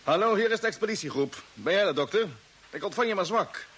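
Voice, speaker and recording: Lively, determined space commander. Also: male voice, sits high, quick pace, breathy edge, heavily acted. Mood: agitated. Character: determined male space expedition commander on a Mars mission. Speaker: actor playing the captain. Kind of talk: old Dutch radio drama acting. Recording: old radio recording with faint hiss.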